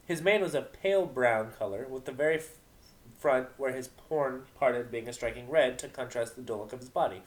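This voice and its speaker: A man's voice: medium pitch, a little fast, rough recording. A man reading a story aloud as narrator, in a dramatic narration style. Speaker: narrator of a fan fiction reading.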